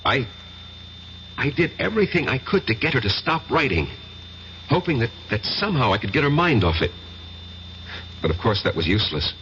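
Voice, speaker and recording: An actor playing an uneasy, fearful college professor in an old-time radio drama, with a hesitant, agitated and dramatic delivery. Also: male voice, mid-range voice, sing-song, normal speed, long pauses, a bit bright. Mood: grave, confiding. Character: uneasy, fearful male college professor recounting his ordeal. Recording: muffled, hissy old radio recording.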